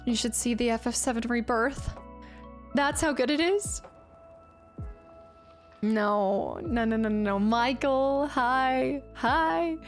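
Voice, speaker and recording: Female streamer, slightly high voice with a touch of congestion, chatty and informal, replying to viewers over background music. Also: takes it slowly, long pauses. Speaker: livestream host. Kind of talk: casual live stream chat while building.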